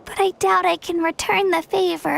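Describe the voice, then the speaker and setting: A woman's voice: very high voice, fairly even intonation, normal speed, creaky, fairly bright tone, slight background noise, full character voice. A woman voicing an earnest, friendly young girl adventurer in an anime game, admiring and encouraging. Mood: warm, admiring.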